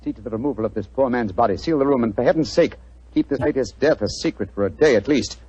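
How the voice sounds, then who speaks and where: An actor playing a brisk, shrewd English gentleman detective, assured and authoritative, in the style of 1940s radio drama. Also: medium pitch, no echo, close to an everyday voice. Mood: excited, commanding. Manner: crisp, authoritative, deductive. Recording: dull 1940s radio recording.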